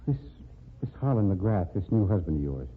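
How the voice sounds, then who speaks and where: A man's voice: low voice, sing-song, moderate pace, breathy edge, full character voice. An actor playing a wary, bitter man who came back from the dead, in an old radio drama. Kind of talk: 1940s radio drama acting. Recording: tinny lo-fi old radio broadcast.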